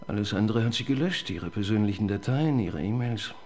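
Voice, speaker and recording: A man's voice: on the low side, lively intonation, fairly quick, a little mellow, slight background noise, natural voice. An actor playing an awkward male police assistant in a radio crime drama, who stammers when questioned. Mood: matter-of-fact. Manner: flustered, stammering when pressed.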